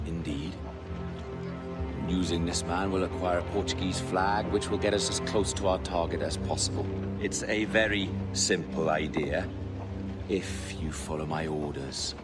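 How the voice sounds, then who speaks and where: An actor playing a proud, cynical pirate captain in a video game, with a theatrical, measured, sardonic way of speaking. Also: male voice, deep voice, steady tone, over loud music. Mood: sly, cynical.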